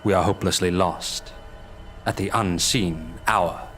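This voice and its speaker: A man playing an eerie narrator, measured and theatrical, introducing an audio drama. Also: sits low, fairly even intonation, loud music behind the voice. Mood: ominous. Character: grave, mysterious male storyteller of eerie tales. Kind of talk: ominous scripted narration.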